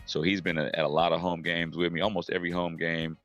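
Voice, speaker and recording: A middle-aged man co-hosting a sports podcast, his voice hoarse and raspy after losing it at a game. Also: sits low, little pitch movement, quick pace, a bit bright. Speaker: podcast co-host. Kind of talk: casual sports chat.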